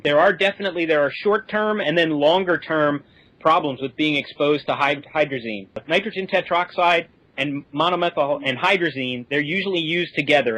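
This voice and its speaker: A man explains things informally as a guest on a podcast. His voice is a little high for a man, and the sound is very dry, with no room around it. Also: a bit bright, no room sound, low-quality recording. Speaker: podcast guest, space simulation specialist.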